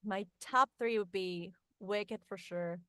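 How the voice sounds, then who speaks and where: A woman from northern Mexico speaking English with a Mexican accent, chatting as a guest in a friendly, lively way. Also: medium pitch, slow pace, clear, resonant tone, dry recording, studio quality. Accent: Mexican Spanish. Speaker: voice actress, guest. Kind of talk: casual interview chat.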